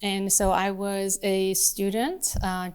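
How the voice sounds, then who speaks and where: A woman in her forties from Turkey, whose first language is Turkish, talking in a relaxed podcast chat as the guest, thoughtful and often laughing. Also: mid-range voice, slow pace, clean tone. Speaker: guest, department chair. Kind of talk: casual podcast conversation.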